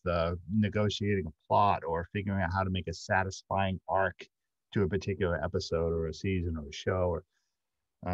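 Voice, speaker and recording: A middle-aged man speaking haltingly and off the cuff as a panelist on a video call. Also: low voice. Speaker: panelist, audio drama writer. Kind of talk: unscripted panel discussion. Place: indoor room, video call. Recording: roomy, muffled video call audio.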